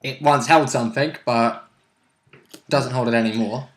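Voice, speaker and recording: A man's voice: medium pitch, fairly even intonation, normal speed. A man chatting informally as a player in a tabletop roleplay game, interjecting and joking. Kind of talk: casual chat.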